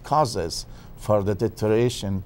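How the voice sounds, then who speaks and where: A man from Afghanistan, a guest expert in a TV interview, speaking haltingly with many fillers and restarts. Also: fairly low pitch, a little mellow, slight background noise.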